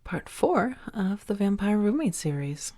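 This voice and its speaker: A soft-spoken woman, an audio roleplay creator, chatting gently and appreciatively to her listeners. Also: mid-range voice, big pitch swings, measured pace.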